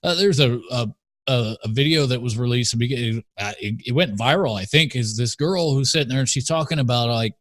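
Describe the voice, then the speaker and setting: A man's voice: mid-range voice, fairly quick, dry recording, studio quality. An American man hosting a podcast in casual talk, with joking, sarcastic asides. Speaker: podcast host.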